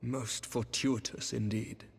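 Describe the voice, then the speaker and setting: An actor playing a grave, courteous knight commander in a video game, husky, measured and formal. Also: male voice, mid-range voice, steady tone, heavily acted. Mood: grave, wary. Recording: long hall reverb.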